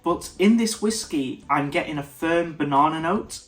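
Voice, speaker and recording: A man's voice: a little high, normal speed, fairly bright tone, faint music behind. A man with a congested, nasal voice, giving a casual whisky tasting review and often stopping to restart his sentences. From United Kingdom. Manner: thoughtful, stops and restarts mid-sentence.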